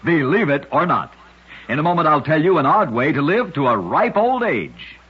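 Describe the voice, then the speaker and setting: A man hosting an old-time radio show, narrating short scripted oddity tales in a husky, rough-edged voice. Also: fairly high pitch, sing-song, normal speed. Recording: dull, lo-fi old radio recording.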